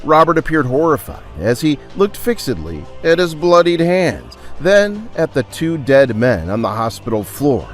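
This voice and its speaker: A man working as a storyteller, reading scripted dramatic narration with theatrical, emphatic pauses. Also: a little high, very animated, faint music behind.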